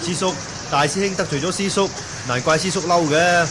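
An actor playing a young martial-arts disciple in a Hong Kong Cantonese radio drama, respectful, formal and pleading. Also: male voice, sits high, a little fast, heavy background noise, natural voice. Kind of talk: 1980 radio drama acting. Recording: old radio drama with crowd behind.

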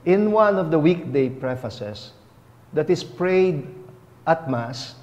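Slow-spoken preacher in a reverberant chapel. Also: male voice, on the high side, animated, darkish tone. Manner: slow, deliberate, teaching tone with rising emphasis. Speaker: bishop giving a homily. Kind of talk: sermon. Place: chapel, indoors, at a lectern. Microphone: lapel mic.

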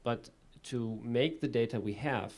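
A man in his thirties answering an audience question on a conference panel, measured and full of small fillers, into a table microphone in a conference room. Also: mid-range voice, a little mellow. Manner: measured, with frequent fillers and restarts. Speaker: panelist presenting a project.

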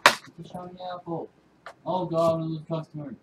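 A man's voice: on the high side, flat delivery, a little fast, reverberant, recorded from a distance, very noisy. A man playing a video game with a relative, jokey and a bit panicky, calling out items as he goes. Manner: jokey, panicky, blurts out item names. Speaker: co-player, brother-in-law. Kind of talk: casual gameplay chat.

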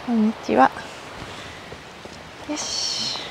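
A woman's voice: a little high, very slow, vocal fry, noisy background. A middle-aged Japanese woman chatting to herself as she hikes a mountain trail outdoors, recorded on a body-worn camera. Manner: chatty, talks to herself while walking uphill. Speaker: hiking vlogger. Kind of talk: casual solo hiking vlog talk.

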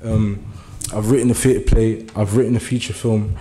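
Young guy from germany speaking up at a meeting, earnest, lots of ums, mellow lowish voice. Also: little pitch movement, normal speed. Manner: earnest, hesitant with many fillers, building to urgent appeals. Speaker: community speaker. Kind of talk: public speech at a meeting. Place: meeting room.

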